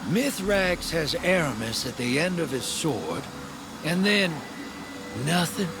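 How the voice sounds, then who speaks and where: A man playing a wry, streetwise alien crime boss with a soft heart in a video game, talking in a casual wiseguy drawl. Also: fairly high pitch, sing-song, slow, exaggerated voice. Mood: incredulous, wry. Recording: clear background noise.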